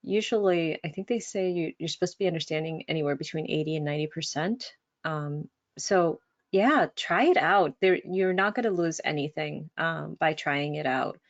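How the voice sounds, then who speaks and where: A woman's voice: sits low, moderate pace, muffled recording. A woman, a native Spanish speaker, giving a casual, encouraging language-learning webinar with many fillers. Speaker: language instructor. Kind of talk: webinar presentation.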